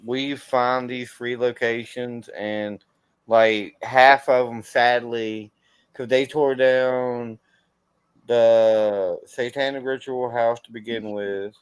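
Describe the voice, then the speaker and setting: A man talks casually as a channel host. His medium-pitched voice is smooth and clear, and it sounds dry with very little room around it. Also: steady tone, takes it slowly, no echo. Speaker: host of a paranormal investigation channel. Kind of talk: casual livestream chat.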